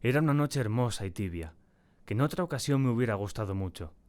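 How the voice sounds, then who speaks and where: A man acting a tormented, terrified diarist in a dramatic first-person audiobook narration, with a solemn and deliberate, theatrical delivery. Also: medium pitch, lively intonation, darkish tone, lightly acted. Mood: troubled, reflective. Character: tormented, terrified man confiding in his diary.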